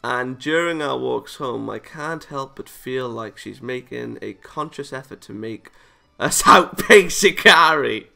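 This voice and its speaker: A man's voice: mid-range voice, lively intonation, a little slow, creaky, pushed and bright. A man reading a visual novel aloud for a let's play, playful and exclaiming, breaking into joking asides. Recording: music behind the voice. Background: visual novel game music.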